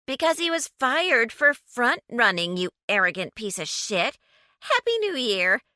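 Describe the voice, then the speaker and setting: Brief: half-drunk lisping woman, scornful, lively pitch, played by a woman reader. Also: unhurried, dry recording, clean studio sound, exaggerated voice. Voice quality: lisp. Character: tipsy lisping woman at office party.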